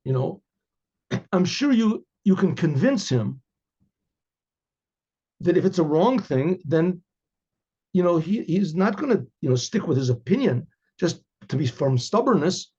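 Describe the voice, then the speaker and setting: Male storyteller, rabbi type: fairly high-pitched, husky, rambling and conversational, often clearing his throat; dull lo-fi sound. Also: very animated, a little slow, long pauses, warm tone. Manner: rambling, conversational, frequent hesitations and repetitions. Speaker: rabbi telling religious stories. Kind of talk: informal oral storytelling with religious commentary. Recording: dull, lo-fi recording.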